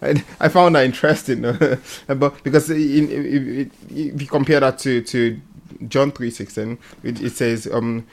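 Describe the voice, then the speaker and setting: A man with a mid-pitched voice talks casually about faith as a panelist. He is hesitant, doubling back on words as he works out his thoughts. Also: steady tone, measured pace. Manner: hesitant, repeating words as he thinks. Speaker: panelist on a religious programme.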